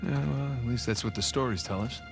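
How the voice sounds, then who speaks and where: A man's voice: mid-range voice, fairly quick, creaky, close to an everyday voice. A man acting as a curious, wry treasure hunter in a video game, with casual, dry banter. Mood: puzzled, intrigued. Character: wry, curious adult male treasure hunter, younger brother. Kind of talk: naturalistic cinematic game dialogue. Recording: cinematic music behind dialogue.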